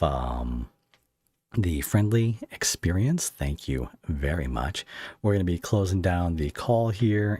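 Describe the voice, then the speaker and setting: A man with a low voice and a dry, close sound hosts a casual online interview. He is appreciative and complimentary, speaking informally to a guest and a live chat. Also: a little mellow, breathy edge, no room sound. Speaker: podcast host and interviewer. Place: online voice call.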